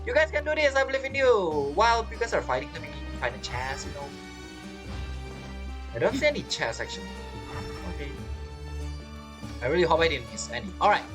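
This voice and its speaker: A woman's voice: low voice, sing-song, a little fast, pauses often, music in the background. A woman giving casual, rambling game commentary, talking half to herself and half to viewers. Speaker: gameplay streamer.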